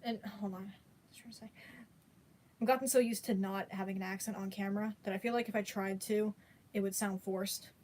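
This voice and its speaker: A woman's voice: mid-range voice, steady tone, brisk, darkish tone. A woman chatting casually to her viewers, rambling with many fillers and restarts. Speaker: vlogger.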